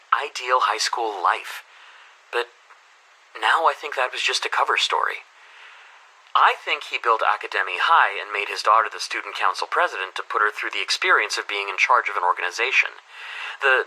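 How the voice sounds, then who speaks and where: A man's voice: fairly low pitch, bright, forceful tone, slightly rough, heavily acted, low-quality recording. A man playing an earnest, reflective school headmaster in a video game, confiding his thoughts in a conversational, musing audio diary. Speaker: headmaster character.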